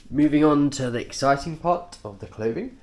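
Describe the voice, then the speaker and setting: A man with a nasal voice, congested from a cold, talking through his cycling kit informally and haltingly, in short offhand phrases. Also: fairly high pitch, lively intonation. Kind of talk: informal vlog, kit walkthrough.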